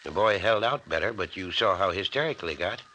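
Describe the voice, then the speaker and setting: An actor with an American accent playing an excitable, nervous small-town doctor in an old-time radio mystery serial. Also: male voice, low voice, brisk. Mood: confiding, suspicious. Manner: excitable, folksy exclamations. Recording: faintly noisy old-time radio recording.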